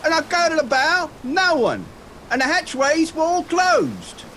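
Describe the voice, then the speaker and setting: A very high-pitched, frightened sailor. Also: male voice, animated, measured pace, sharp tone, full character voice. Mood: fearful. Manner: hesitant, uneasy. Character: frightened, superstitious sailor, the ship's mate. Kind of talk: horror radio drama acting. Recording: clear rain and ambient noise behind.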